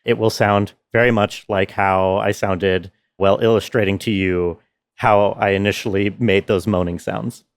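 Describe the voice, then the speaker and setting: A man's voice: fairly low pitch, steady tone, normal speed, no room sound, clean studio sound. A man presenting his sound design work in a casual, explanatory tutorial style.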